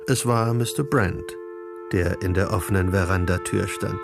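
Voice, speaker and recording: Gravelly male radio drama narrator. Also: low-pitched, normal speed, music in the background.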